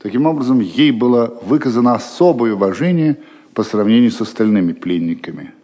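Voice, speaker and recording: A male narrator reads literary prose for an audio drama. His voice sits at a medium pitch, with scripted, storytelling phrasing. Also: expressive, brisk, warm tone.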